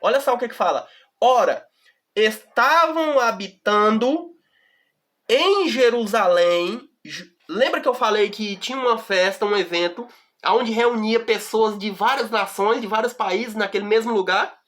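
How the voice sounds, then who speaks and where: A Brazilian woman leads a Bible study in a slightly raised voice, taking her time. She reads each verse slowly and with care. She keeps turning to the listener to make sure they follow. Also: on the high side, lively intonation, fairly bright tone. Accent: Brazilian Portuguese. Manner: reads scripture slowly and deliberately, often checks in with the listener. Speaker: Bible teacher.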